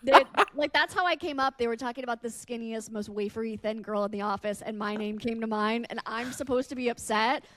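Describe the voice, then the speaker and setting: Female podcast host, on the higher side, candid and conversational, dry close recording. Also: fairly quick, hardly any pauses, fairly bright tone, no room sound. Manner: candid, swears casually. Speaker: podcast host and radio personality. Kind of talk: casual, candid podcast chat.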